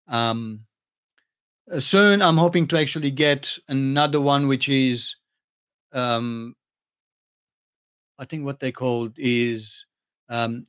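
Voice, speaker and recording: A man's voice: mid-range voice, fairly bright tone. A man with an accent, not born in Australia but living there for over thirty years, hosting a casual livestream Q&A and answering chat questions in a rambling way with many fillers. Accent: non-native English accent. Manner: rambling, many ums and restarts. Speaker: music channel host answering chat questions.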